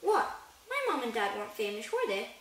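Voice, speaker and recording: Young storybook boy, played by a woman: polite, puzzled, hesitant, bright tone, echoey room. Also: a little high, huge pitch swings, a little fast, recorded from a distance, slight background noise.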